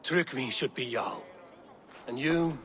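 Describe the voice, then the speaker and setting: An actor playing a calm, commanding Viking war leader in a video game, speaking in a measured, resolute way. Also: male voice, medium pitch, background noise, close to an everyday voice. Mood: frank, encouraging. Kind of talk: dramatic video game acting. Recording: faint background ambience.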